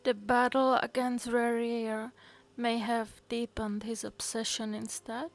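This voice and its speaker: An actress playing a calm, thoughtful, perceptive young traveler in an anime video game, musing as she speaks. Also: a little high, slow.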